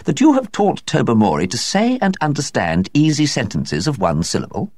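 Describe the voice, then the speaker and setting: A man's voice: mid-range voice, huge pitch swings, normal speed, darkish tone, no echo, voice pushed up. A man voicing a prim, inquisitive woman who asks questions in a prying way. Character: prim, prying district-visitor type spinster woman.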